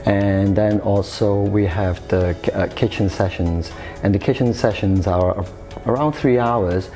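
A man's voice: on the low side, steady tone, unhurried, warm tone, faint music behind. A man in his thirties from Hong Kong, raised in the UK, answering interview questions about his studies in a hesitant way, with frequent fillers and restarts. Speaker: interview guest, chef. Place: café-style room with sofa, indoors.

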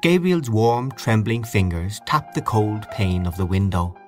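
A man with a lowish voice reads literary prose aloud. His reading is measured and expressive. Soft music plays beneath him. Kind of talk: reading a short story aloud.

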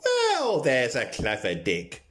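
A man's voice: mid-range voice, huge pitch swings, slow pace, a bit bright, a little hiss or hum, full character voice. Saucy, wildly swooping pantomime dame played by a man. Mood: motherly. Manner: cheeky, theatrical. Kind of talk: radio pantomime dame acting.

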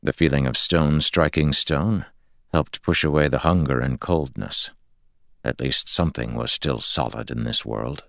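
A man's voice: extremely low pitch, unhurried, creaky, a bit bright, dry recording, studio quality. A man reading fantasy fiction as an audiobook narrator, in a scripted storytelling style.

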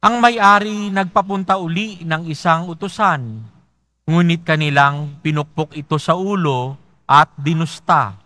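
A man with a Filipino accent, a priest reading the Gospel aloud in a large church in a slow, measured way. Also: a little high, sharp tone, dry recording. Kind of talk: reading the Gospel and preaching at Mass.

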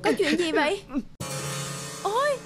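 A woman playing a gentle young village woman in a cartoon folktale, earnest, loving and emotional. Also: extremely high pitch, very animated, unhurried, loud music behind the voice, heavily acted. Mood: panicked. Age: young adult. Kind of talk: animated folktale acting.

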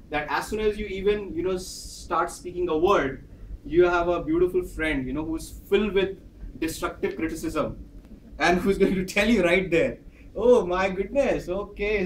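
Indian man giving an animated speech. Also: high voice, normal speed, a little hiss or hum. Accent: Indian English. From northern India. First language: Hindi. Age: 30s. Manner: animated, acts out dialogue with gestures, pacing while speaking. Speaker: speaker at a club meeting. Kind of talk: prepared personal speech with storytelling. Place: large carpeted office training room. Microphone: far camera. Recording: echoey room, far from the mic.

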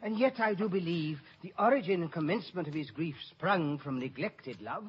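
An actor playing an officious, deferential courtier with fussy formal speech in a 1950s radio Shakespeare drama. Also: male voice, a little high, expressive, moderate pace, slightly rough. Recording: muffled 1950s radio broadcast recording.

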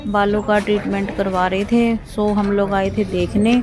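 A woman's voice: mid-range voice, level tone, moderate pace, crowd noise. A woman narrating her vlog afterwards in a voice-over, steadily explaining to viewers and thanking her hosts, with a cough. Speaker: vlogger.

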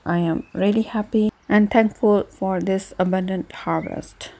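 A woman talks through her gardening in a steady, level voice that barely rises or falls. She explains each step calmly, like a tutorial, recorded outdoors on her patio while her hands work the soil. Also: mid-range voice. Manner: calm, even, explanatory. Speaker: gardening channel host. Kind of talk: casual gardening tutorial narration.

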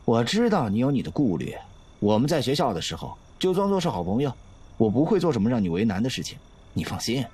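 Actor as young male college suitor, radio drama: sly, persuasive, animated mid-range voice. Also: mid-range voice, quick pace, breathy edge. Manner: coaxing, teasing. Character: sly, teasing male college student, playfully wounded suitor. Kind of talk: Chinese audio drama acting.

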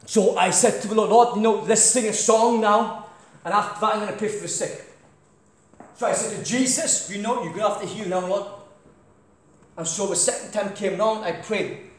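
A middle-aged man with a British accent preaching a sermon loudly with stories as he moves around a large church hall, recorded from a distance by a camera. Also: high-pitched, normal speed. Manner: loud crusade-style preaching, walks about. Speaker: guest preacher. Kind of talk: sermon with anecdotes. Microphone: far camera, no mic. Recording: echoey church hall, faint noise.

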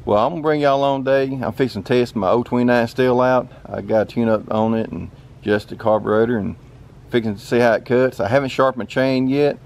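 A man's voice: mid-range voice, a little fast, vocal fry, darkish tone, a little hiss or hum. A man in his thirties with a Southern US accent, hosting a casual outdoor vlog in a folksy, easygoing way with plenty of fillers. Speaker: channel host. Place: outdoors in a wooded yard.

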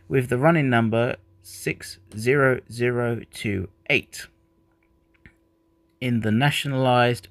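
A man's voice: mid-range voice, no room sound. A man who is not a native English speaker, chatting casually while unboxing a model train, rambling and correcting himself.

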